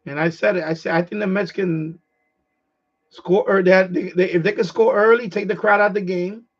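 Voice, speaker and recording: A middle-aged man from New York with a New York accent, hoarse, chatting casually on his livestream and restarting his phrases as an eager sports fan. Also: high-pitched, fairly even intonation, moderate pace. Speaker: livestream host. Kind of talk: casual rambling chat. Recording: dull lo-fi stream audio.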